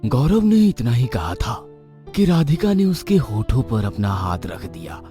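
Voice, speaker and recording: A man narrating an animated horror story in an expressive, suspenseful way. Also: mid-range voice, measured pace. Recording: background music.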